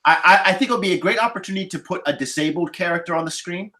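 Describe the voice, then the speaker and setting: A man moderating a casual podcast discussion of films, halting and often repeating words as he goes. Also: high-pitched, sharp tone.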